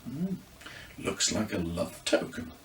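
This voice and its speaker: A man voicing a brisk, curious older grandmother in an audiobook children's story, thoughtful in her asides. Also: fairly low pitch, very animated, roomy sound, distant mic. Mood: musing.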